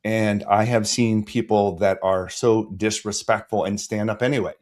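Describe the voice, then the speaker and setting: A man's voice: on the low side, fairly even intonation, moderate pace. A man hosting a podcast interview, earnest and emphatic, who coaches volleyball. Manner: earnest, builds to loud emphatic storytelling. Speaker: podcast host. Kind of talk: conversational interview.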